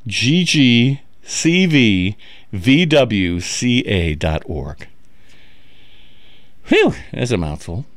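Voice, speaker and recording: Slow, halting male radio DJ. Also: medium pitch, big pitch swings, dry recording. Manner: rambling, halting, with many fillers and chuckles. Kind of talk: casual on-air announcing. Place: radio studio. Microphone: studio microphone.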